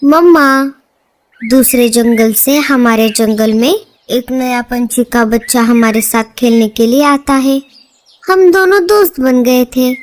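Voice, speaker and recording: A woman's voice: sits high, a little hiss or hum. A woman voicing a small bird child in a cartoon, with a plaintive, childlike way of talking. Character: small whiny bird child complaining to mother. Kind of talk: Hindi children's cartoon dubbing.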